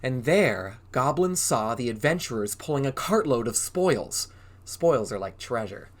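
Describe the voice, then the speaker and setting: A man reading a story to young children as an acting teacher, expressive and often putting questions to his listeners. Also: medium pitch, measured pace.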